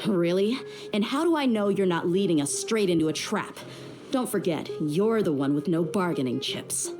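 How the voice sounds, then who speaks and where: Blunt, animated tough mercenary woman played by an actress. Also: fairly low pitch, moderate pace, music in the background, close to an everyday voice. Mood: impatient, threatening. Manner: blunt, commanding, menacing. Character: tough, blunt, impatient young female desert mercenary. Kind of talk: video game voice acting.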